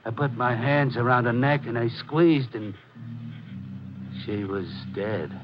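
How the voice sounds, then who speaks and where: A man's voice: medium pitch, natural voice. A man acting a wounded, menacing, childlike killer. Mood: quietly menacing. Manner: halting, repetitive, childlike phrasing. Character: big unstable killer, soft-spoken, wounded and menacing. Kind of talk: 1940s radio drama acting. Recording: muffled, noisy 1940s radio recording.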